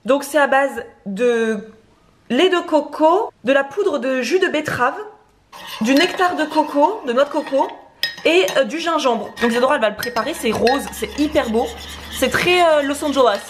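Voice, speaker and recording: A woman's voice: high-pitched, normal speed, background noise. Chatty young woman in a home kitchen. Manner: chatty, lively, explains while cooking. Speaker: vlogger co-host. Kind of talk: casual chat to camera.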